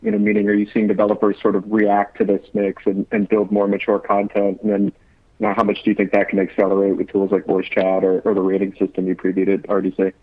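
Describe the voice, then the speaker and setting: A man's voice: lowish voice, completely flat delivery, fast, no room sound. A man calling in as a financial analyst to put questions to executives on an earnings call. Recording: narrow, dry phone line.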